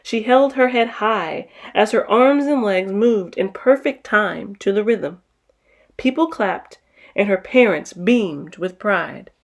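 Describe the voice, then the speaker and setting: A woman's voice: medium pitch, animated, slow. A woman narrating a children's picture book as a storytime host, reading expressively.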